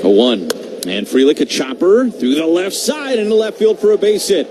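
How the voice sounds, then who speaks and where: A man's voice: sits high, animated, moderate pace, background noise. A man calling baseball play-by-play live on the radio. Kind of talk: live radio sports commentary.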